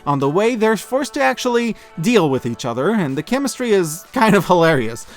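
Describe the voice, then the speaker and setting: Animated man, high-pitched, reviewing casually. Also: a little fast. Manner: casual, joking, wry. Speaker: host of a year-end media review show. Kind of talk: scripted review narration. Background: music behind the voice.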